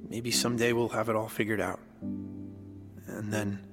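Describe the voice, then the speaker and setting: A man's voice: on the low side, level tone, a little slow, vocal fry, over music. A young American man playing an insecure, devoted college boyfriend in naturalistic audio drama style. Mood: sad, gentle. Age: young adult.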